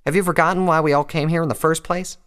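A man's voice: steady tone, fairly bright tone, clean tone, natural voice. Man voicing a firm, blunt female farm pony. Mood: hurt, reproachful. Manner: low-toned, firm, measured.

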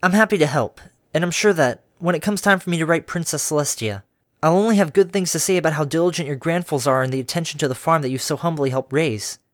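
A man's voice: a little high, fast talker, no echo, studio quality, pitched above the performer's own voice, close to an everyday voice. A man doing a nervous polite pegasus mare. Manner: polite, evasive, nervous chuckles.

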